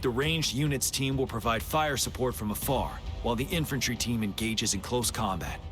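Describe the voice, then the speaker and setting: A man playing a composed, resolute army general in a game cutscene, giving measured, authoritative military briefings. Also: mid-range voice, over music, natural voice. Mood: grave, resolute. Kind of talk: scripted video game cutscene acting.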